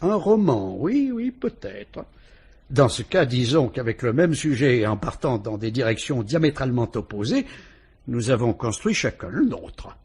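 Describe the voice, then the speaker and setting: An actor with a French accent playing a calm, shrewd police inspector who politely and ironically probes a suspect in a vintage radio drama. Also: male voice, medium pitch, slightly rough. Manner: measured, probing, politely ironic.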